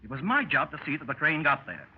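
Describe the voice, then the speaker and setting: An actor playing a seasoned American secret service adventurer, recounting exploits with matter-of-fact bravado in a 1930s radio drama, on an old recording. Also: male voice, fairly high pitch, wildly swooping pitch, fast talker. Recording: muffled, noisy vintage 1930s radio recording.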